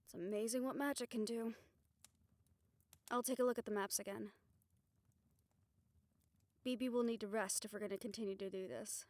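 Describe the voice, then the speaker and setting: A woman playing a level-headed young hunter and caring leader in an audio roleplay, practical and calming in manner. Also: mid-range voice, normal speed, pauses often, no room sound, lightly acted. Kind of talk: dramatic audio roleplay acting.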